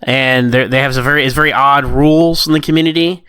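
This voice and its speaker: A man co-hosting a podcast in casual review chat, skeptical and halting as he works through his points. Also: medium pitch, fairly even intonation, sharp tone, clean tone, no echo. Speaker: podcast co-host.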